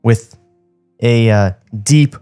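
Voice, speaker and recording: A man with a mid-pitched voice co-hosts a comedy podcast. He reads stage directions and breaks off into casual, joking asides. Music plays behind his studio mic. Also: drawn-out words, dark tone, clean tone. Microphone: podcast studio microphone.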